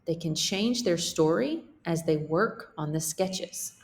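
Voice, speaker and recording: A woman's voice: on the low side, animated, slow pace. A woman teacher reading a picture book aloud as a lesson for children, explaining things simply and checking in with questions.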